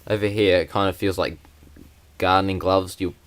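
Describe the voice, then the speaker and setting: A young man, speaking in a deep voice that barely moves in pitch. He talks plainly and haltingly, with many fillers, as he shows things to a camera. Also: level tone. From New Zealand. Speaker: vlogger. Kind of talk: casual unboxing vlog.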